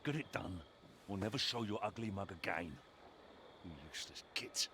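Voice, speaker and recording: An actor voicing a bitter, foul-mouthed pirate port boss in a video game, gruff, insulting and theatrical in manner. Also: male voice, fairly low pitch, normal speed, pushed and bright, slight background noise. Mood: scornful. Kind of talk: video game character acting.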